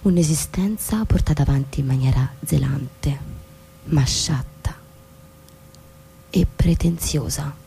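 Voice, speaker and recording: An actress playing a disillusioned, coldly defiant wife in a theatrical radio drama, speaking deliberately with pointed pauses. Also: female voice, low voice, creaky, mellow, a little hiss or hum, exaggerated voice, poor audio. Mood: sombre, resigned. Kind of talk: theatrical stage-play acting for radio.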